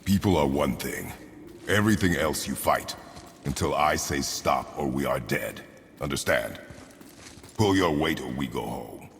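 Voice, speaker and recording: Grim warrior dad, super deep gravelly voice, clipped orders. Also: male voice, lively intonation, unhurried, a bit bright, slight background noise, heavily acted. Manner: terse, commanding, few words. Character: stern, terse warrior father.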